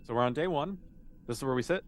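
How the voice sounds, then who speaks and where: A man's voice: medium pitch, quick pace, crowd noise. A man speaking casually and informally as a game master, explaining and narrating a tabletop roleplaying game.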